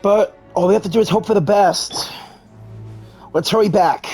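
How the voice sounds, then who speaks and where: A person reading video game dialogue aloud in an earnest, deliberate manner. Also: mid-range voice, a little mellow. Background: music.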